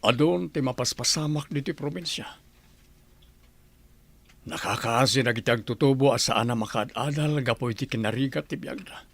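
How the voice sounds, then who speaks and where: A man's voice: mid-range voice, moderate pace, dry recording, close to an everyday voice. A man acting an earnest older father, a military man, in an Ilocano radio drama. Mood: grave, hesitant. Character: earnest older father, ex-soldier general with political hopes.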